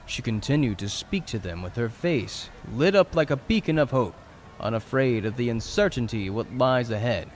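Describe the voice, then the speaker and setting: A man's voice: medium pitch, lively intonation, normal speed. Man narrating dramatically over noisy ambience. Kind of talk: scripted dramatic story narration.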